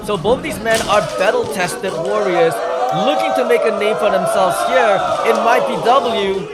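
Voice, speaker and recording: A man giving live play-by-play wrestling commentary as a commentator, measured and building his phrases for emphasis. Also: sits high, loud music behind the voice. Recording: noisy arena recording with loud crowd. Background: loud wrestling crowd.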